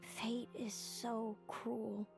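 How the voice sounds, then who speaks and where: A woman playing a grave, wistful young female seer in a video game, with a measured, formal and fatalistic delivery. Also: fairly high pitch, flat delivery, creaky, darkish tone, soft background music, full character voice. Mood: wistful resignation. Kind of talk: dramatic video game voice acting.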